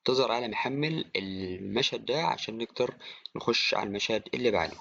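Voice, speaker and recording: A man with an Egyptian accent giving an informal software tutorial, talking to the viewer like a friend. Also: fairly low pitch, animated, quick pace, gravelly, no echo. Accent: Egyptian Arabic. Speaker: tutorial presenter. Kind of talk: casual screen-recorded software tutorial. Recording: dry, slightly dull, with clicking.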